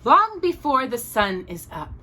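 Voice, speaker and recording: A woman's voice: fairly high pitch, sing-song, unhurried, bright, forceful tone. A woman reading a children's picture book aloud as a storytime reader, expressively and with small asides to a young listener.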